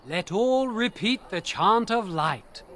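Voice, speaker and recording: Pious game chanter, slow solemn declaiming of verses, very lively pitch. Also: male voice, slight background noise, heavily acted. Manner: declamatory, formal. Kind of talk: video game scripture recital.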